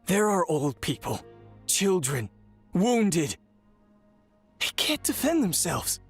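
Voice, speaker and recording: An actor playing a grave, weary male warrior in a video game, a sole survivor with a husky voice. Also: high-pitched, big pitch swings, takes it slowly, full character voice. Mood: bleak despair. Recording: game music behind the voice.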